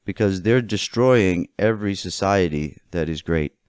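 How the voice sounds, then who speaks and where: A man from the United States delivers an opinionated political monologue, speaking deliberately and emphatically, phrase by phrase. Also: low voice, a little mellow, clear, resonant tone, dry recording, clean studio sound. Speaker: political commentator.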